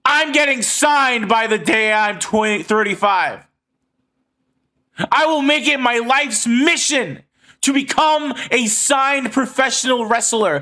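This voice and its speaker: Bright-voiced thirty-year-old man, earnest. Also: very high voice. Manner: earnest, heavily swearing. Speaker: online video creator making comic dubs and skits. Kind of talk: emotional personal monologue to audience.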